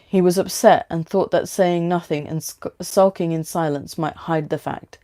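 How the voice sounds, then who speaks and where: A woman's voice: fairly low pitch, dark tone. A woman with a London British accent, hosting a livestream with story reading and casual chat.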